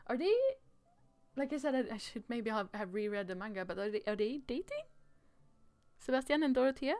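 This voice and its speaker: Higher-voiced woman streamer, dry sound. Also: expressive, fairly quick, darkish tone, no echo. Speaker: streamer playing a demo, guest. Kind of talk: livestream playthrough chat with read-aloud dialogue.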